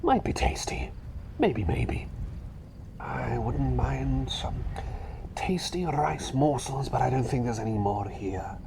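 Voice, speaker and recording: A man voicing a kindly, scatterbrained bird doctor in an ASMR roleplay, rambling soothingly between soft talk and breathy whispers. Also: mid-range voice, lively intonation, slow pace, a crowd in the background. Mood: curious, musing. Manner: rambling, soothing, whimsical, often dropping to a whisper. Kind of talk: ASMR roleplay, personal attention.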